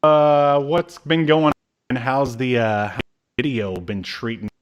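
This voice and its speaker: A man in his thirties co-hosting a podcast in casual chat, with a jokey, halting delivery. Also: medium pitch, fairly even intonation, smooth, lo-fi sound. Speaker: podcast co-host. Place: small room at home, on a video call.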